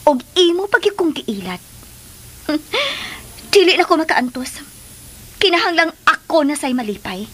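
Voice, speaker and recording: A shrill, very high-pitched jealous schemer. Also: female voice, big pitch swings, measured pace, pauses often, full character voice. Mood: bitter, demanding. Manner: forceful, imperious. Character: jealous, demanding, vindictive woman claiming her man. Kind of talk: melodramatic radio drama acting. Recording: music under the voice.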